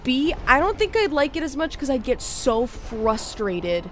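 A woman's voice: high voice, sing-song, measured pace, a bit bright. A young woman giving casual, self-deprecating reaction commentary on a video game, chatting as she watches. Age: young adult. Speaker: gaming reaction host. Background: game cutscene music and sounds.

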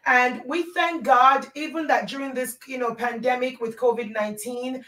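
A woman in her fifties, a pastor, answering earnestly and softly from home over a video call. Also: sits high, fairly even intonation, moderate pace. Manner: earnest, soft, backchannels often. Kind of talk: answering questions in an interview. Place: home room, indoors. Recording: echoey room, far from mic.

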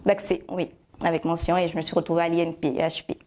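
A woman in her thirties from Côte d'Ivoire with an Ivorian French accent, chatting as an interview guest, full of fillers and self-corrections, on a lapel mic in a bright studio room. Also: on the low side, level tone, fast. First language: Agni. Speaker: guest, love shop founder. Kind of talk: relaxed interview answers.

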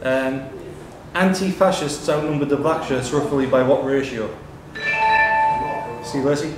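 A man's voice: medium pitch, fairly even intonation, a little slow, over music. A man reading out questions as a live pub quizmaster. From Stockton-on-Tees, England. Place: indoors in a pub. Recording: echoey busy pub room, background chatter.

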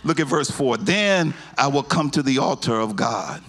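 A middle-aged man preaching a sermon in a church sanctuary into a handheld mic, moving from slow low teaching to shouted, half-sung climaxes. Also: big pitch swings. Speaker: pastor.

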